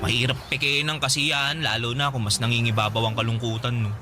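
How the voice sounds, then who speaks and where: A man's voice: medium pitch, level tone, fast, music in the background, close to an everyday voice. Brooding, wry young Filipino man acted by a man. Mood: gloomy, reflective. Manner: plaintive, philosophical, wry. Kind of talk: Filipino radio drama acting.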